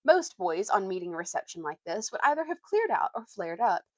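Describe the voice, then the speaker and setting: A woman reading a children's adventure book aloud as an expressive storyteller. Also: mid-range voice, fairly quick, no room sound, studio quality.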